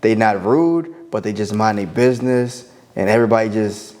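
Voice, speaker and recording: A man's voice: on the low side, moderate pace, a little mellow. A young man from Baltimore, a rapper guesting on a podcast, talking bluntly and teasing with lots of swearing. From Baltimore, Maryland. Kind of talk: casual podcast chat.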